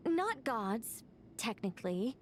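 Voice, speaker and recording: A woman's voice: fairly high pitch, very animated, takes it slowly, a little hiss or hum. A woman playing an ancient angel in a video game, serene, playful and informal, telling things with teasing composure.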